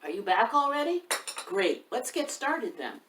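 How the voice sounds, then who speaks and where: A woman's voice: a little high, big pitch swings, a bit bright. Woman hosting children's storytime, distant roomy sound. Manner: unhurried, addressing young listeners directly with questions. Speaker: children's author and storytime host. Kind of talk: casual storytime chat and expressive picture-book read-aloud for children. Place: indoors by a fireplace, roomy sound. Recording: roomy, distant microphone with faint background noise.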